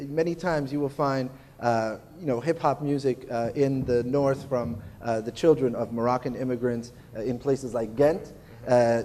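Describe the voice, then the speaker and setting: A man's voice: medium pitch, darkish tone. Male panel moderator, hesitant and filler-heavy, recorded with long hall reverb. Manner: halting, many filler sounds. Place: convention hall stage.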